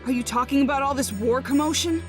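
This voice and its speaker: A woman's voice: high voice, quick pace, natural voice. Brash, earnest woman voicing a young boy ninja. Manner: direct, casual, boyish. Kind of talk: English anime game dub acting. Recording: music behind.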